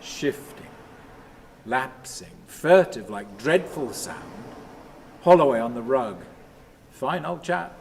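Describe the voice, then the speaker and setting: An actor playing a troubled, lonely man who tells himself stories in halting, repetitive fragments, in a radio play monologue. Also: mid-range voice, animated, vocal fry, a little hiss or hum. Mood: haunted, brooding.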